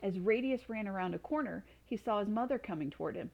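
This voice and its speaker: Lady doing an expressive read-aloud for kids, mid-range voice. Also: medium pitch, fast talker, vocal fry, highs cut off. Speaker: storyteller reading to children.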